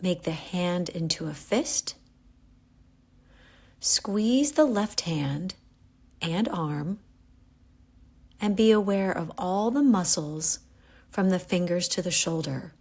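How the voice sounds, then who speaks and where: A woman guiding a yoga nidra relaxation, giving calm, gentle, unhurried instructions with pauses. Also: fairly low pitch. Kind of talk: guided relaxation meditation.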